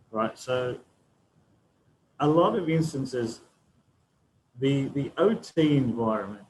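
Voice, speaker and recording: Man, webinar panelist, methodical explainer, mid pitch, faint background noise. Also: a little mellow, low-quality recording. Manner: explanatory, frequent tag "right?".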